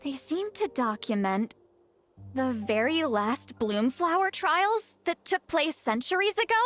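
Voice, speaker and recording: A composed young scholar in a video game. Her voice is high and lively in pitch, yet she takes her time. She phrases things precisely and with calm authority. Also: full character voice. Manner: measured, precise, articulate. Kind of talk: video game character acting.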